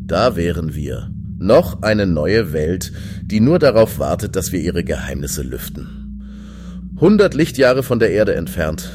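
Man playing a deep-voiced, animated space explorer. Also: slow pace, background noise, full character voice. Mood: awed. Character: hopeful male space explorer, keen leader. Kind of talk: science fiction audio drama acting. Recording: music behind the voice.

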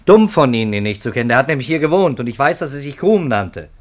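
Male police interrogator acted by a man, 1950s radio play: insistent, sardonic, highly animated intonation. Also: quick pace, lightly acted. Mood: pressing. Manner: pressing, sardonic, rhetorical questioning. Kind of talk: 1950s German radio drama acting.

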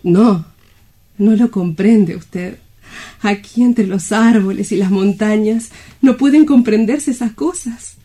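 A woman's voice: mid-range voice, slow pace, warm tone. A woman acting a frightened, desperate young woman worn down by loneliness, in dramatic radio-play style. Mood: bitter, sorrowful.

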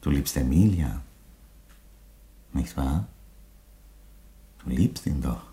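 An actor playing an aging Roman emperor in a radio play, an unhurried, wry father with a dry, sententious delivery. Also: rumbling low, lively intonation, mellow, exaggerated voice. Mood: wry, earnest.

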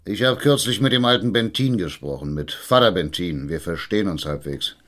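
An actor playing a confident town mayor, affable and self-assured, in a radio drama. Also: male voice, sits low, expressive, fairly quick, a bit bright. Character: confident town mayor, middle-aged man.

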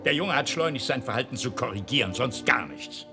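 A man acting a middle-aged patriarch in a radio play, used to giving orders at work and at home. His pitch leaps about as he moves between biting sarcasm and explosive command, with music in the recording. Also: expressive, fairly quick, lightly acted. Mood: indignant, scornful. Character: domineering, hot-tempered father and company boss.

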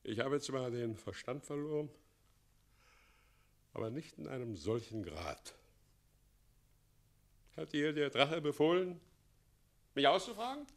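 An erratic, theatrical old-school town mayor. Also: male voice, mid-range voice, sing-song, a little slow, pauses often. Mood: suspicious. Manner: theatrical, erratic, swinging from hush to outbursts. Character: evasive, half-mad town mayor, gushing loyal to a dragon. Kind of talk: German radio play acting.

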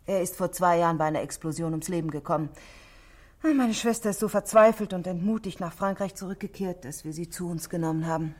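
An actress playing a self-possessed, guarded married shop manageress in a radio drama, speaking precisely and defensively. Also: female voice, fairly low pitch, a little fast, darkish tone, lightly acted. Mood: composed, recollecting. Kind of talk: 1960s German radio drama acting.

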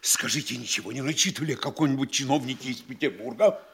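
An actor playing an anxious, scheming town mayor with a husky voice, in a stage radio play. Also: male voice, medium pitch, quick pace, a bit bright, natural voice. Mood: fretful. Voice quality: husky, fretful, conspiratorial.

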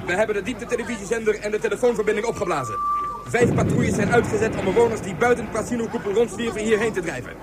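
An actor playing a military patrol commander giving an urgent, clipped report to base in a science fiction radio drama. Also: male voice, high-pitched, rapid-fire, lightly acted. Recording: very noisy, loud action din.

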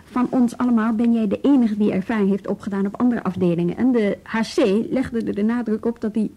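An actress playing a composed, tactful but firm female police bureau chief in a Dutch radio drama, speaking with measured authority. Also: mid-range voice, fairly even intonation, warm tone, lightly acted, poor audio. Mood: firm, calm.

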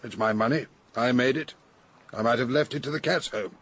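An actor playing a wealthy, strong-willed older English gentleman in a radio drama, speaking bluntly and formally. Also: medium pitch, brisk, breathy edge. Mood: firm, defiant.

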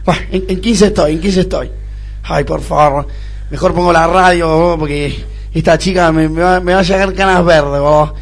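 Man acting spoiled Argentine rich young man, high-pitched, whiny, snobbish, stammering, radio comedy-drama, faint music behind. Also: fairly even intonation, normal speed, natural voice. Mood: exasperated, whiny. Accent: Argentine (Rioplatense). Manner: whining, stammering, repeats phrases, snobbish.

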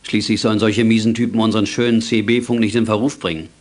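A man's voice: lowish voice, flat delivery, fast, lightly acted. An actor playing an easygoing middle-aged father in a children's radio play, genial, avuncular and instructive. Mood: helpful, relaxed.

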